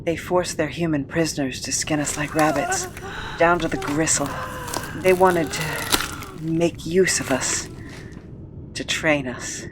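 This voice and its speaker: An actor playing a traumatised, inhuman immortal who escaped captivity, speaking in a halting, brooding, confessional way in an audio drama. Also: medium pitch, close to an everyday voice. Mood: bitter, haunted. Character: traumatised immortal escaped prisoner of inhuman kind, bitter, haunted. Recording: noisy ambience behind the voice.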